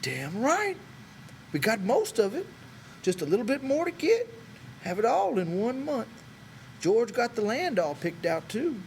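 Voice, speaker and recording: A man's voice: very high voice, wildly swooping pitch, measured pace, long pauses, slight background noise, voice pushed up, full character voice. A man voicing an old, wistful and stubborn American ranch hand with earnest, folksy talk, for an audiobook. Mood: earnest, dreamy. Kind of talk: dramatic audiobook character voice.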